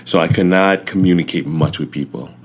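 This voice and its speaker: A young man from Southern California whose first languages were Chinese and Vietnamese, telling his life story haltingly as an interview guest. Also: low-pitched. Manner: plain, halting storytelling with many fillers. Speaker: guest, CrossFit coach.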